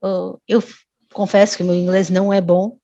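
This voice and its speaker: A woman's voice: medium pitch, flat delivery, normal speed, mellow, no echo, muffled recording. A woman, a guest telling a long personal story in casual chat, often restarting and adding tag questions. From Brazil.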